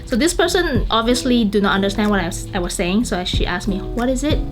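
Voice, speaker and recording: A woman's voice: mid-range voice, big pitch swings, fast. A woman with a Malaysian accent telling anecdotes in an interview and acting out the quotes. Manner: storytelling, acts out quotes. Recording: background music throughout.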